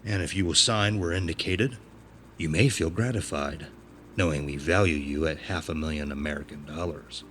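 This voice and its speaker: Man voicing a very deep menacing enforcer. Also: moderate pace, a bit bright, slight background noise. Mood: cold, commanding. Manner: formal, coldly polite, threatening. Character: menacing, smooth-talking Mexican criminal enforcer, grown man. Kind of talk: audio horror story character voice.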